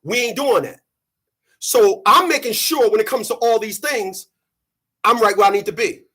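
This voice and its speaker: A man in his thirties, sweaty after a run, giving an animated, preachy monologue to his channel's viewers. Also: sits high, normal speed, slightly rough. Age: 30s. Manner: animated, preachy, mocking quoted voices. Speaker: channel host. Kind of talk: casual monologue to camera.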